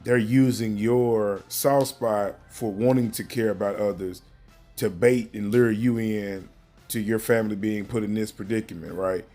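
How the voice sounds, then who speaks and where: Low-voiced man giving earnest commentary over music. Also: steady tone, measured pace, mellow. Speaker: commentary host. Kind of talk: earnest informal news commentary.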